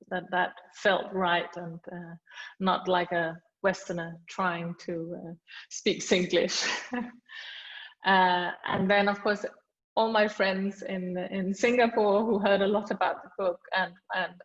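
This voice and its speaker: Danish-accented woman author, hesitant. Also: fairly low pitch. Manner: hesitant with many fillers and restarts. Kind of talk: reading aloud then thanking people at an online book launch. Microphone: video call. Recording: dull lo-fi video call audio.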